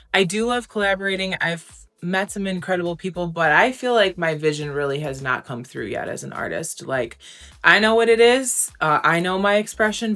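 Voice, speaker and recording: Low-voiced young woman, chatty and candid. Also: fairly bright tone. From United States. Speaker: interview guest, recording artist. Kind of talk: casual interview answers.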